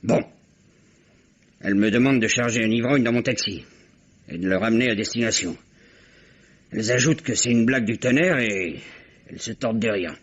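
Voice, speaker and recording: A man plays a bitter taxi driver in his thirties, surly and crude in his slang. His voice is mid-range and husky. It comes through a dull, lo-fi archive recording. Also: mid-range voice, fairly quick, long pauses, close to an everyday voice. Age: 30s. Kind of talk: 1950s French radio drama acting.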